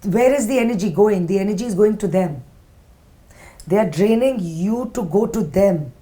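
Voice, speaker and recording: Animated middle-aged woman telling stories. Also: mid-range voice, normal speed. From born in Germany, raised in South Africa and India. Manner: animated storyteller who acts out little scenes. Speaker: podcast guest. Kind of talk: casual interview conversation.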